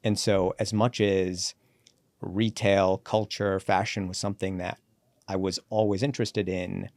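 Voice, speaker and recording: Low-voiced man telling his career story. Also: little pitch movement, a little mellow, no echo, clean studio sound. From born in Sri Lanka, raised in Canada. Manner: reflective, brisk storyteller who acts out quoted dialogue. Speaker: guest, company CEO. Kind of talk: relaxed podcast interview, storytelling.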